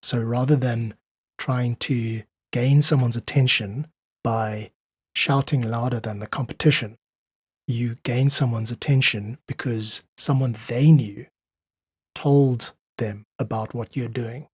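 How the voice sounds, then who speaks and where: A man's voice: mid-range voice, fairly even intonation, slow, breathy edge, no echo. A South African man speaking earnestly and articulately as an interview guest, explaining his ideas in conversation. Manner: earnest, articulate, uses analogies. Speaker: podcast guest, independent musician.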